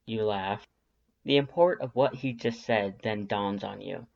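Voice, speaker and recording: A man's voice: on the low side, unhurried, gravelly, darkish tone. A man reading scripted second-person fiction as an audiobook narrator. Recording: slightly dull lo-fi audio.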